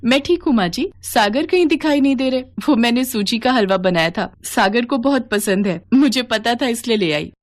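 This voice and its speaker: Woman voicing a high, earnest young village girl. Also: animated, normal speed, few pauses, close to an everyday voice. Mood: shy, sweet.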